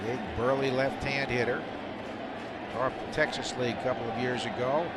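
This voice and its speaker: A man's voice: mid-range voice, a little fast. A man calling a baseball game play by play as live radio commentary. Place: outdoor stadium broadcast. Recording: very noisy outdoor ballpark broadcast. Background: loud steady outdoor ambient noise.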